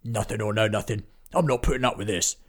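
Man doing a grumpy pipe-puffing halfling, fast and cross. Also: lowish voice, lightly acted. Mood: indignant. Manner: brisk and indignant. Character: gruff pipe-smoking male halfling, quick to anger.

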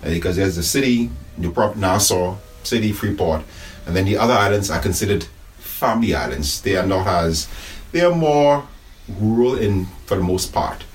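Priest, calm and measured. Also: male voice, low-pitched, recorded from a distance, a little hiss or hum. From Bahamas. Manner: measured, thoughtful, with pauses and restarts. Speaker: Anglican priest, interview guest. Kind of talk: reflective conversational interview. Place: church office.

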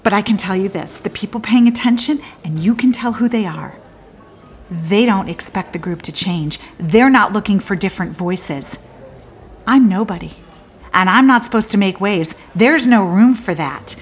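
An actress playing a disillusioned woman lawyer in a theatrical monologue, confiding in a halting, wry and bitter way with abrupt swings. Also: mid-range voice, expressive, close to an everyday voice. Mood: disoriented, indignant.

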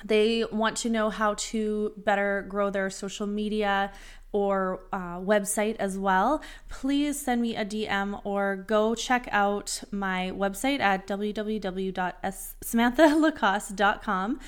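A woman's voice: mid-range voice, slow pace, smooth. Upbeat woman podcast host. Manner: upbeat, repeats words like "really". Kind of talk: casual podcast hosting.

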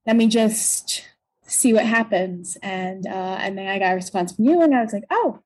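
A woman's voice: medium pitch, normal speed, darkish tone. A young American woman with a childlike voice, talking casually and reflectively as an interview guest, often hesitating as she goes. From Pennsylvania, United States. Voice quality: childlike, youthful tone. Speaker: voice and stage actor, interview guest.

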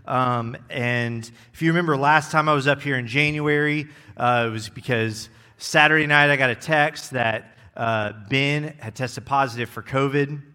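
A young man preaching a sermon as executive pastor, relaxed and joking, with many fillers. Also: mid-range voice, moderate pace, few pauses, smooth. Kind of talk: casual sermon. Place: church.

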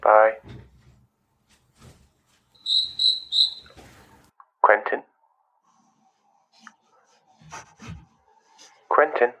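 An actor playing a wistful, controlling, quietly menacing man in a radio play, speaking in measured, fragmented phrases. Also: lowish voice, vocal fry, narrow, tinny audio. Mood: coolly controlling. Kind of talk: radio play monologue and dialogue.

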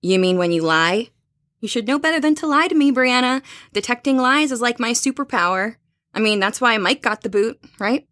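Skeptical, studious young female roommate played by an actress, slightly high voice, blunt and challenging, horror audio drama. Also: expressive, normal speed, fairly bright tone. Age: young adult. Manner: dry, matter-of-fact, challenging.

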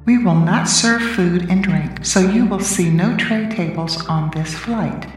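A woman voicing a calm airport boarding announcer over a PA. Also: on the low side. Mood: formal. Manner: measured, formal public-address delivery. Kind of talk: scripted radio drama announcement. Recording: distant echoey announcement with soft music.

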